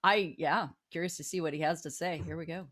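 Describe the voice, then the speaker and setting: A woman co-hosting a talk show in lively, casual chat with a bubbly, gushing manner. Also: low-pitched, brisk, some chatter behind.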